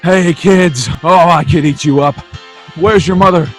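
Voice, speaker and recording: A man's voice: a little high, a little slow, faint music behind, close to an everyday voice. An actor playing an emotional, fervent family man in an old-time radio drama, stammering and exclaiming sincerely. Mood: overjoyed.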